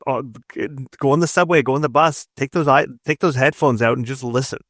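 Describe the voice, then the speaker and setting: A man's voice: medium pitch, fairly quick, fairly bright tone, no echo, clean studio sound. A man giving conversational interview advice as a game writer, explaining emphatically and often checking with "right?". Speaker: guest narrative designer and game writer.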